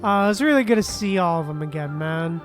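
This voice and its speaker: A woman streaming a video game, reacting casually and fondly with giggles and drawn-out little exclamations. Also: lowish voice, lively intonation, soft background music.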